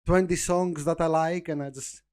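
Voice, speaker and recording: Greek-accented man, thirties, halting guest chat in a bakery. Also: fairly high pitch, steady tone, darkish tone, smooth. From Greece. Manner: halting, repeats words, laughs often. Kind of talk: casual interview chat.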